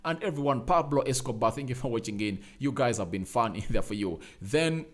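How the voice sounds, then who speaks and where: A man's voice: mid-range voice, expressive, a little fast. Emphatic young man hosting a fan stream. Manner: reads out viewer names and comments, repeats phrases, urges likes; emphatic, rising to loud calls. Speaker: fan channel host. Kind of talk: casual live-stream chat.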